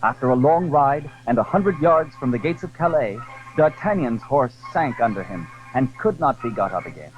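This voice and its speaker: A man's voice: mid-range voice, highs cut off. A man narrating a classic adventure novel in dramatic, scripted storytelling style.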